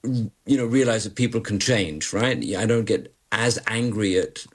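Man, 60s, low and husky; rambling guest with restarts, wry. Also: unhurried. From born in London, raised in Malaysia. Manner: rambling, self-deprecating, many restarts. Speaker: journalist guest. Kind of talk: radio interview guest. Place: remote, joining from afar.

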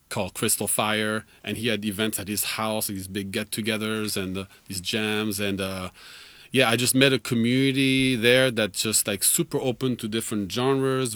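A man's voice: on the low side, a bit bright, no room sound. A man in his forties whose first language is French, talking casually and a little rambling as a musician guest in a radio studio, laughing often. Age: 40s. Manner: chatty, laughing, rambling with fillers.

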